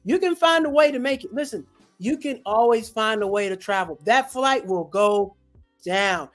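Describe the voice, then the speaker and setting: A comedian hosting a casual livestream chat, telling stories in an animated, slangy way. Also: medium pitch, moderate pace, soft background music. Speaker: host and comedian.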